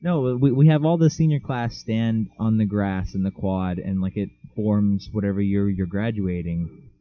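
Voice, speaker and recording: Teen guy goofing around on a podcast, kinda low voice, dull lo-fi mic. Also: moderate pace. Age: teens. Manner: joking, teasing, tells stories with big laughs. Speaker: podcast co-host. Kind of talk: casual chat.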